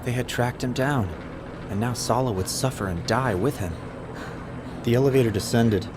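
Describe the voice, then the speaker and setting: Low-voiced man narrating a dramatic audiobook. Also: background noise.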